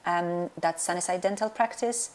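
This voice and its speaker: A woman's voice: lowish voice, normal speed, a little hiss or hum. A middle-aged woman from Poland with a Polish accent, a dentist answering interview questions hesitantly, with many fillers and restarts. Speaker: dentist and practice owner.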